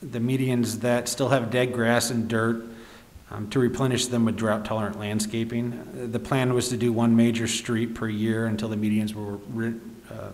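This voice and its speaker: A male city official explains budget items in a measured, formal way. His voice is mid-pitched and comes through a face mask into a desk microphone, with clear room echo. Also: little pitch movement. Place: indoor council chamber. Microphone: desk microphone, speaking through a face mask. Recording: echoey meeting room.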